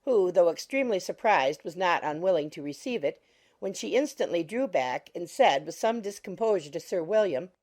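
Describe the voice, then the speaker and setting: A woman reading scripted literary narration for an audiobook in a measured, formal manner. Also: lowish voice, expressive, darkish tone.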